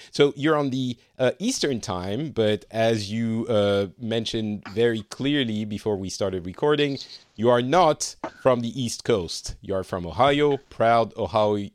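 A man's voice: medium pitch, measured pace, no room sound, studio quality. A man in his forties hosting a podcast, chatting and teasing his guests and laughing often. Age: 40s. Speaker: podcast host. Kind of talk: casual chat, welcoming guests.

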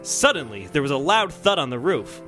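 A man hosting a comedy podcast, bantering casually and sometimes reading a story aloud in an excitable, mock-earnest way. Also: fairly high pitch, normal speed, faint music behind.

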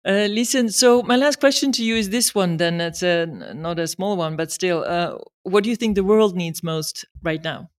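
A woman interviewer asking questions in an expressive way, sometimes pausing to find words. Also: fairly low pitch. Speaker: interviewer, host.

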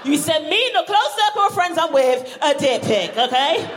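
A woman from Barbados performing a live stand-up comedy set, punchy and playful, shouting out her punchlines. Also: high-pitched, animated, moderate pace. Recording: live stage recording with audible background noise.

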